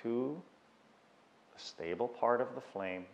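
A man guiding a meditation with unhurried, measured instructions and long pauses, in a dull recording with long reverb. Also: medium pitch, gravelly. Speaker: meditation guide. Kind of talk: guided meditation.

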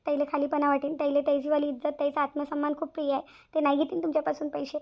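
A woman's voice: high voice, completely flat delivery, very fast, creaky, darkish tone. A woman with a Varhadi Marathi accent voicing a selfless, earnest young bride-to-be in a cartoon family drama. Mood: resigned, anxious. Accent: Varhadi Marathi from Vidarbha. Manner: earnest, repeats phrases emphatically. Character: anxious, selfless young bride-to-be, tenderly devoted.

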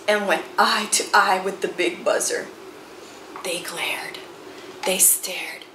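A woman in her forties reads a picture book aloud, her voice high, bright and lively as it rises and falls through the story. She takes each line slowly and expressively, in an echoey room with a faint hiss of noise. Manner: expressive storytelling, slow and animated. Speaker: children's book reader. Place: room with bookshelves and chalkboard, indoors.

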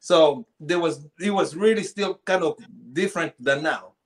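A high-voiced man with a Central African accent tells a personal story in a casual, conversational way. He often repeats phrases and slips into reported dialogue as he goes. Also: moderate pace. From Congo. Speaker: podcast guest, poet. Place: small indoor room at home, video call.

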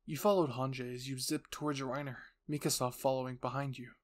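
A man's voice: medium pitch, little pitch movement, fairly quick. Man narrating a dramatic story. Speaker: narrator and voice actor.

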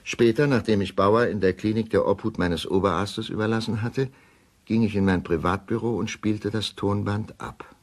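An actor playing a troubled middle-aged male doctor, narrating a crime radio play in a grave, measured, deliberate way. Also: on the low side, fairly even intonation, close to an everyday voice. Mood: measured, sombre. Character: troubled male doctor recounting a suspicious death. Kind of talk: first-person radio drama narration.

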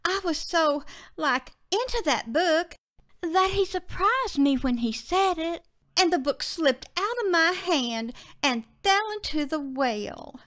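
Woman as an earnest little country girl, high-pitched, swooping. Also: lively intonation, slow, fairly bright tone, no room sound, exaggerated voice. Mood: breathless explaining. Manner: childlike, breathless rambling with "like" and "see".

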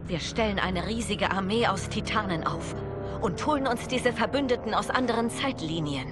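A woman's voice: medium pitch, lively intonation, normal speed, close to an everyday voice. An actress playing a determined, urgent female warrior in video game dialogue, earnest and resolute. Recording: music behind the voice.

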